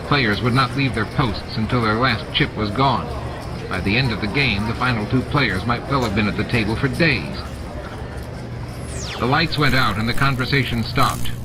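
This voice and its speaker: A man's voice: fairly low pitch, rough recording. A man reading a novel aloud as a dramatic, scripted audiobook narration. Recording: music and sound effects behind. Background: music, added sound effects.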